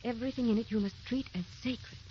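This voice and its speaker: An actress playing a tender, anxious young wife in an old radio drama, with a theatrical, wistful, pleading delivery. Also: female voice, on the high side, fairly even intonation, quick pace, mellow, natural voice. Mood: troubled, confessional. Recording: dull, hissy old radio recording.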